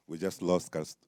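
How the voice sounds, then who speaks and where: A man hosting a live festival event speaks with a very deep, dark voice. He talks slowly and softly, adding small laughs between his words. The sound is dry and close. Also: dry recording. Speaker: festival host.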